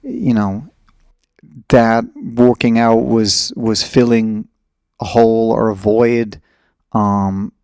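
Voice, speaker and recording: A man's voice: on the low side, darkish tone, dry recording, studio quality. A middle-aged man talking in a casual, reflective and blunt way as he hosts a podcast conversation.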